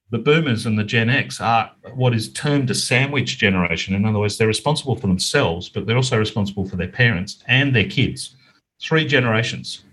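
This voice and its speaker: A man's voice: fairly low pitch, fairly bright tone, husky, low-quality recording. A middle-aged or older man with an Australian accent, explaining things earnestly as an interview guest. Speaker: interview guest, business author. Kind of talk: conversational interview answers.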